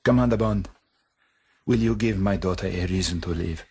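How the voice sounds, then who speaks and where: A man acting in a radio drama as a grave, anguished crime-boss father, speaking in a measured, formal way. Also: low-pitched, darkish tone, husky, heavily acted. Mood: solemn, pleading. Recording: orchestral music under the voice.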